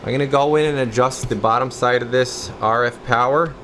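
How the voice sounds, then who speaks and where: A man's voice: mid-range voice, a little fast. A man giving a casual, methodical hands-on equipment demo as a technician, in a matter-of-fact technical way. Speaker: radio technician, channel host. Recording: steady room hum and background noise.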